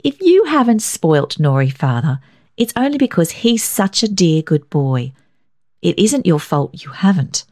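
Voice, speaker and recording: A woman's voice: on the low side, big pitch swings, unhurried, darkish tone. A woman voicing a frank, affectionate young mother in a storybook reading. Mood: earnest.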